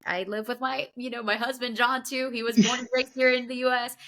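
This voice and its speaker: A woman from Honduras hosting a podcast, talking in a casual way with hesitant pauses and fillers. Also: on the high side, flat delivery. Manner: warm, hesitant, many fillers. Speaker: podcast host.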